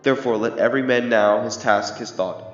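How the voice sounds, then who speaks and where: A man's voice: mid-range voice, steady tone, brisk, lightly acted. A young man playing a resolute, regal English king in a Shakespearean audio drama, speaking formal, declamatory verse. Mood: determined. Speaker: amateur student actor. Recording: muffled, echoey hall reverb under music.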